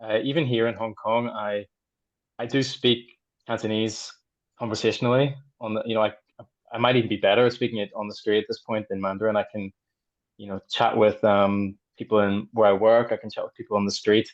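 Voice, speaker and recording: Hesitant low-voiced man, lo-fi call. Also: little pitch movement, brisk. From Ireland. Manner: hesitant, many ums and restarts. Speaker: translator, panel guest. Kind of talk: conversational answer in a panel discussion.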